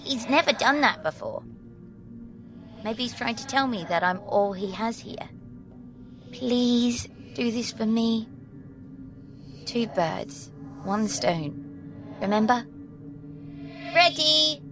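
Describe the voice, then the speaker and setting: Woman playing an earnest high-voiced young girl. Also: expressive, slow, pauses often, music in the background. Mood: soft pleading. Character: earnest, wary girl, big sister to a scheming brother. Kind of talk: audio fiction drama acting.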